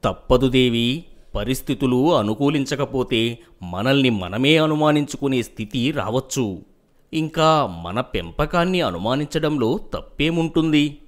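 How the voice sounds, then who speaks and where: Guy doing a grave traditional landlord dad in a moral cartoon, expressive pitch swings. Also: unhurried, lightly acted. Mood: firm, worried. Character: grave, worried landlord father, strict traditionalist. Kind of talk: animated moral story dialogue.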